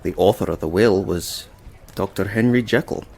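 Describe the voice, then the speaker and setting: An actor playing a measured, inquisitive Victorian lawyer in a stage drama, formal, deliberate and dryly witty. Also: male voice, sits low, vocal fry, darkish tone, slightly rough, faint music behind, heavily acted. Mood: thoughtful, intrigued. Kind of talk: theatrical stage drama acting.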